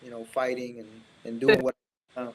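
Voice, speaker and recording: A man's voice: mid-range voice, monotone, normal speed, slight background noise, poor audio. A young man raised in Indiana with an American accent, talking casually as an interview guest and acting out bits of dialogue as he tells stories. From born in Mexico, raised in Indiana, United States.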